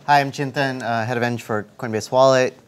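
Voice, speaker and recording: A man's voice: mid-range voice, fairly even intonation, fairly quick. A man speaking as a panelist and engineering lead, upbeat and eager in tone. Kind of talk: conference panel talk.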